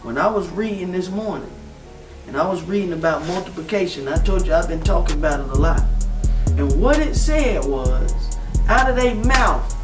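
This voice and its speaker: A man's voice: high voice, expressive, normal speed. A man in his thirties giving a motivational talk to a group, preaching in call-and-response and retelling scripture in casual slang. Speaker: motivational speaker. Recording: distant roomy mic with music behind.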